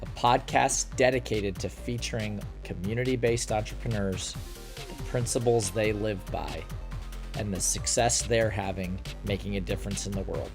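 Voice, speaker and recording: A man reading a scripted show introduction in a smooth, measured announcing manner. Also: lowish voice, steady tone. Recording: music playing behind.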